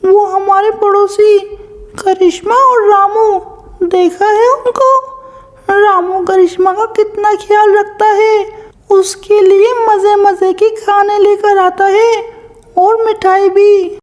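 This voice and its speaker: A woman voicing a sharp-tongued young female crow in a cartoon, a quarrelsome wife with a scolding, defiant manner. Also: very high-pitched, fairly even intonation, slow pace. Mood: fed up. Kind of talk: Hindi cartoon voice-over.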